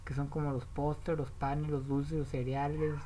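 Low-voiced Mexican woman talking diet. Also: level tone, measured pace, mellow, slight background noise. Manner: rambling, many restarts and self-corrections. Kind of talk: casual explanatory talk.

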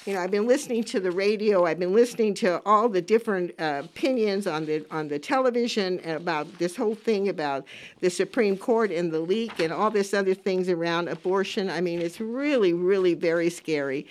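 A woman's voice: lowish voice, brisk, a little mellow. A woman in her seventies hosting a talk radio show, speaking casually and emphatically, repeating phrases for stress as she gives her opinions. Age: 70s. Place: radio studio.

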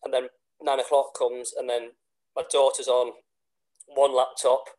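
A man's voice: mid-range voice, level tone, a little slow, a little mellow. A man in his thirties, a teacher with a cold and a sore throat, answering interview questions hesitantly with many restarts. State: congested with a cold.

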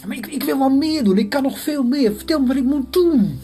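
A woman voicing a lovesick young man in a spoken story, pitched well above her own voice and pleading. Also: lively intonation, brisk, warm tone, faint music behind. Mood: eager.

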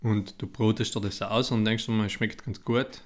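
A man's voice: lowish voice, fairly even intonation, normal speed. A man co-hosting a podcast, telling stories in casual, colloquial dialect. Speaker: podcast co-host. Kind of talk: casual banter and storytelling.

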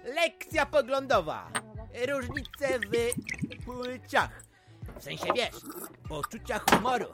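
An actor playing a jovial, tipsy middle-aged husband in an audio drama, teasing and mock-solemn in a theatrical way. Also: male voice, extremely high pitch, sing-song, slow pace, loud music behind the voice, heavily acted. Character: jovial tipsy husband and jokester uncle at a party. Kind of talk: Polish audio drama dialogue.

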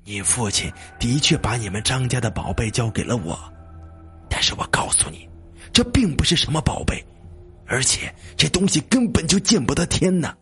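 A man voicing a weary, gentle old village man in a spoken story, sighing and pleading anxiously as he guards a secret. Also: medium pitch, expressive, normal speed, faint music behind, lightly acted. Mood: weary, resigned.

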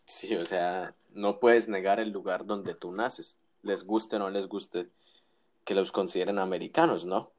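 A man's voice: low-pitched, a little slow. A man co-hosting a casual wrestling chat, giving opinions with frequent restarts and tag questions. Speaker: wrestling podcast co-host.

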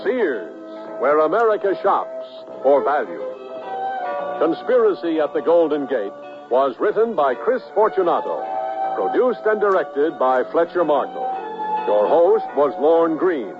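A man's voice: a little high, big pitch swings, measured pace. A male radio announcer reading a scripted sponsor message and credits. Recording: tinny vintage radio, music behind.

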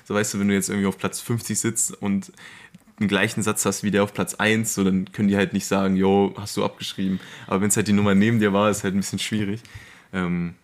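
A man's voice: lowish voice, little pitch movement, quick pace. A young man hosting a podcast in casual, slangy, colloquial chat among friends. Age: young adult. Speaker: podcast host.

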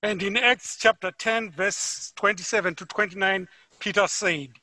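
A man from Zimbabwe with a Zimbabwean accent, preaching a sermon as a guest in a church, with measured, deliberate delivery that rises for emphasis. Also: high voice, sharp tone, dry recording, studio quality.

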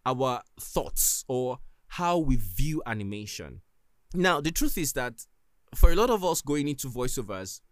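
A man's voice: mid-range voice, no echo, clean studio sound. A man with a Nigerian accent, hosting a solo podcast and giving earnest, emphatic advice. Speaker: podcast host.

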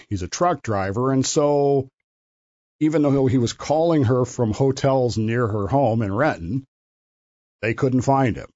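A man with a mid-pitched voice talks through a story on his own show, conversational and opinionated. He stops to correct himself often. The recording is dry and a little dull. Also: darkish tone, dry recording. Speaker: podcast host. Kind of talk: solo commentary monologue.

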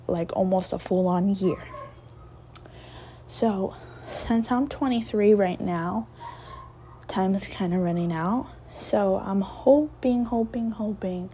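A woman's voice: medium pitch, mellow, slight background noise. A woman in her twenties hosting a casual solo podcast, talking in a rambling, reflective way with many fillers and restarts. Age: 20s. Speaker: podcast host.

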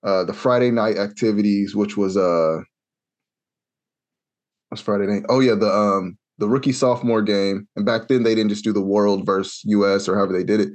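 A young adult man from Kansas telling a long, animated story in a casual podcast chat, acting out the voices he quotes. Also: lowish voice, moderate pace. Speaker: podcast co-host.

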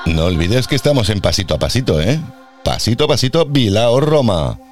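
A man's voice: on the low side, big pitch swings, fairly quick, music in the background. A man with an Andalusian accent hosting a casual podcast monologue, ranting in a sarcastic, playfully theatrical way about work as a healthcare worker.

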